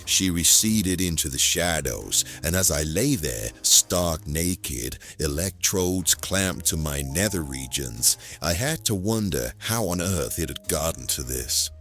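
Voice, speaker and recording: Male actor as hapless noir antihero for audio drama: wry deadpan, slangy, unhurried, very animated intonation. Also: very deep voice, faint music behind, exaggerated voice. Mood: wry narration. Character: hapless hard-boiled man narrating his own seduction ordeal. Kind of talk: noir-style audio play narration and dialogue.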